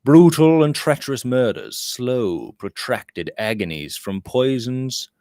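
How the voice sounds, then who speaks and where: A man narrating a literary novel as an audiobook reader, with deliberate, expressive phrasing. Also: on the low side, no room sound, studio quality.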